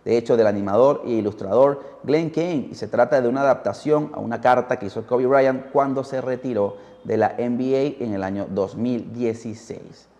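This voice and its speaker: A man's voice: medium pitch, normal speed, few pauses, darkish tone. A man hosting a podcast, narrating from a script in a storytelling style. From Peru. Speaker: podcast host. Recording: muffled, heavy hall-like reverb.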